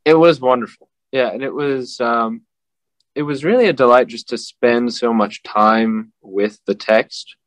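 A man, a translator, answering interview questions in a measured way with frequent pauses and fillers. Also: mid-range voice, fairly bright tone, no room sound. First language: English. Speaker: translator guest. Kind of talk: casual interview.

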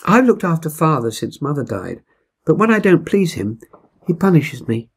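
An older man voicing a shy, polite teenage runaway girl in a quiet, hesitant manner for a storybook reading. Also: fairly high pitch, very animated, moderate pace, husky, pitched above the performer's own voice, heavily acted. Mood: sad, guarded.

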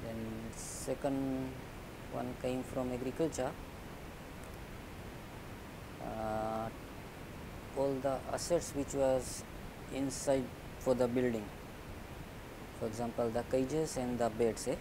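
Middle-aged Fijian man, interview, lapel mic, plain speech, steady rumble behind. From Fiji. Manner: plain, halting and conversational, with tag "eh?". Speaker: poultry farmer. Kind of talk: interview answers. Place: indoors, plain room.

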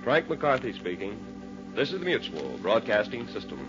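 A man's voice: on the low side, sing-song, moderate pace. A man working as a radio announcer, reading program credits in a mid-century broadcast style. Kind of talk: 1940s-50s radio announcing. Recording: muffled old broadcast with music. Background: music.

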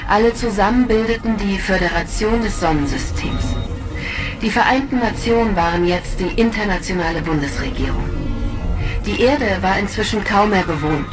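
A woman's voice: medium pitch, normal speed. A woman reading scripted narration in a radio drama. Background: music.

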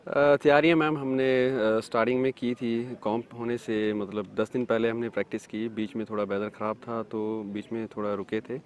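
A man from India, a paragliding pilot, answering interview questions in a relaxed, halting way with many fillers. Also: on the low side.

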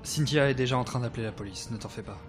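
A man playing a caring, polite, soft-spoken assistant in an audio drama. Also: mid-range voice, racing, natural voice. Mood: concerned, kind. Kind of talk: French audio drama acting. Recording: music behind the voice.

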